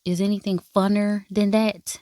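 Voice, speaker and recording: A woman's voice: mid-range voice, little pitch movement, moderate pace, mellow, dry recording. Chatty, swearing woman drama vlogger. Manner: chatty, emphatic and playful, swears freely; talks straight to viewers, calling them baby and y'all. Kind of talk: casual first-impressions review.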